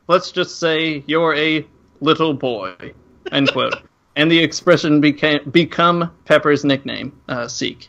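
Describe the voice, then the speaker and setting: A man's voice: a little high, bright, forceful tone, highs cut off. A man co-hosting a podcast, talking casually and reading aloud in a joking way. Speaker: podcast co-host. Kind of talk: casual chat and mock-dramatic plot reading.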